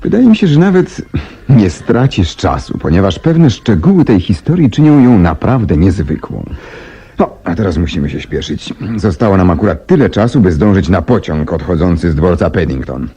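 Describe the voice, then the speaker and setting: An actor playing a brilliant, self-assured detective in 1970s radio drama style, courteous, deliberate and articulate as he explains things. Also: sits low, very animated, mellow, slightly rough, highs cut off, full character voice. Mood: brisk, courteous. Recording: 1970s radio recording with faint noise.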